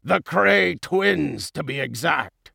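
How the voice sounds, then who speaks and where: A man voicing a scornful fish bully in an audiobook, bellowing in a drawn-out, emphatic way. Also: on the high side, animated, creaky, bright, forceful tone, slightly rough, no echo, studio quality, heavily acted. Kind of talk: audiobook character voice.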